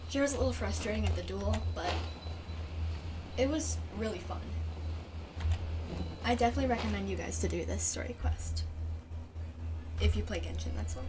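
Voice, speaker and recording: A woman's voice: medium pitch, normal speed, pauses often, distant mic, noisy background. A woman giving casual commentary as she plays through a video game. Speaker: gamer playing through a story quest.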